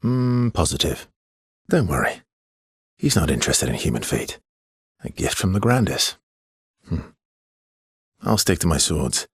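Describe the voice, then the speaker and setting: A weary video game swordsman with a low, gravelly voice, heavily husky and worn. He talks in an understated, guarded way, with hesitations and a dry, teasing fondness underneath. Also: dry recording, full character voice. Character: world-weary adult male expedition swordsman, guarded but wryly affectionate. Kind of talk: video game character dialogue, conversational.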